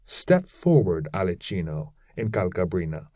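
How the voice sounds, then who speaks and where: Guy doing arrogant demon boss bossing devils around, slow n deliberate, dark mellow tone, epic poem. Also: low voice, very animated, no echo, clean studio sound, exaggerated voice. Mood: curt, authoritative. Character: arrogant male demon chief ordering his band of devils.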